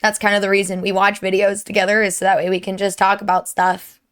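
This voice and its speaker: A woman's voice: mid-range voice, monotone, fast, fairly bright tone, clear, resonant tone. A young woman with a sore, strained throat giving an earnest, rambling update to her viewers from her desk at home. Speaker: streamer and YouTuber. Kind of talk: candid personal update to viewers.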